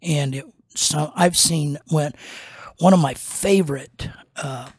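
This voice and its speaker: An older man, a pastor and podcast host, teaching in a slow, rambling way with many fillers and restarts, on a studio mic in a small wood-paneled room. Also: a little high, slightly rough, dry recording. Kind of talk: unscripted teaching talk. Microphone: studio mic on a boom arm.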